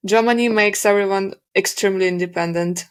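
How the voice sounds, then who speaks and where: Host: woman, mid-pitched, asks questions in slightly imperfect English. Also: steady tone, brisk, smooth. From Ukraine. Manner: non-native English with small slips, warm laughs. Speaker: podcast host. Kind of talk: interview questions.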